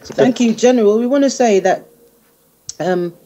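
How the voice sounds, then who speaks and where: A woman talking casually as a radio show guest, informal and halting, often repeating words. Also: a little high, dark tone. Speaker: guest, music group organiser. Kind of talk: casual radio interview talk.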